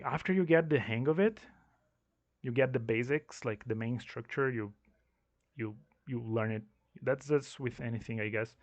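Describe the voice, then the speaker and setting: A young man with a non-native English accent talks through his game rules in a loose, meandering way, restarting phrases as he thinks. His voice sits at an ordinary pitch. The recording is dull and lo-fi, with a dry, close sound. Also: dry recording. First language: not English. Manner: rambling, self-correcting, thinking aloud. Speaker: game designer explaining his tabletop system. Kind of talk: casual explanatory monologue.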